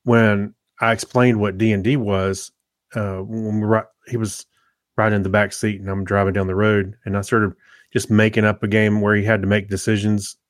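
A man's voice: fairly low pitch, flat delivery, normal speed, no room sound, studio quality. A middle-aged man chatting casually as a podcast co-host. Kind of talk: casual chat.

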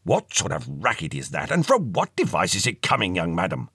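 A man voicing an elderly gentleman ghost from a storybook, courtly, mournful and pompous, with formal old-fashioned phrasing. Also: sits low, wildly swooping pitch, a little fast, slightly rough, no echo, studio quality, exaggerated voice. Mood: puzzled, haughty.

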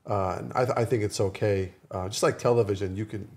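A man talking casually as a podcast guest, in a halting way, often correcting himself as he goes. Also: fairly low pitch, steady tone, a little mellow. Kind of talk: casual conversational podcast discussion.

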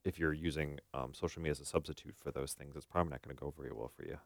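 A man's voice: very deep voice, fairly even intonation, very fast, no room sound. An American man co-hosting a podcast as a therapist, explaining his views casually and acting out bits of imagined dialogue.

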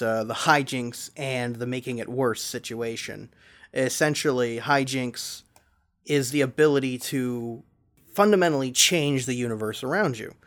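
A man speaking as an interview guest and game master, explaining in an articulate, conversational way. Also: medium pitch.

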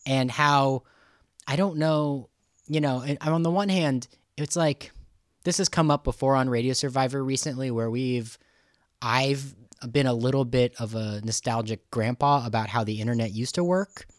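A man hosting a podcast in a casual, halting, thinking-aloud way as he talks with a guest. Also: mid-range voice, dry recording, clean studio sound. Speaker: podcast host interviewing a guest. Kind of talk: casual conversational podcast talk.

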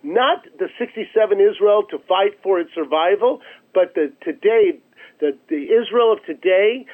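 Phone-line audio, high-voiced man, emphatic, repetitive phrasing, promotional interview guest. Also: moderate pace. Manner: emphatic, repeats phrases for effect. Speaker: charity chief executive, interview guest. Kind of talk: promotional radio interview talk. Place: remote call into a radio show. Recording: narrow, tinny phone line.

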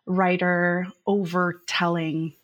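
A woman's voice: on the low side, level tone, slow pace. A woman publisher interviewing a guest, circling her questions with hesitations. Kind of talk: conversational interview.

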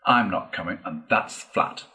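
A man's voice: deep voice, sharp tone, exaggerated voice. Man playing a dry, stubborn storybook water rat. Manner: slow, dry, firm.

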